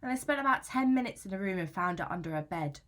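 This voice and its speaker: A woman's voice: medium pitch, expressive, fast talker, fairly bright tone, lightly acted. A woman playing an anxious, aggrieved young British student in a conversational, confessional dramatic monologue, chatty, slangy and self-justifying. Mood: scornful, gossipy. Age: young adult. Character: anxious young female student, aggrieved and defensive about housemate.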